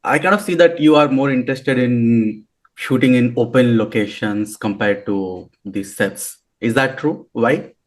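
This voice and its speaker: A man hosting a podcast, friendly and quick to laugh, asking questions with some hesitation. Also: medium pitch. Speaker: podcast host. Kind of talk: casual podcast interview.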